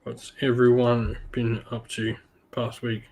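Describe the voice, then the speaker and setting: A man hosting a casual hobby stream, a little croaky from being sick, laid-back with lots of ums. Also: medium pitch, monotone, slow, a little mellow, low-quality recording. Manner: laid-back, many ums, greets chat viewers by name. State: slightly croaky from illness. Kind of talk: casual live-stream chat while painting miniatures.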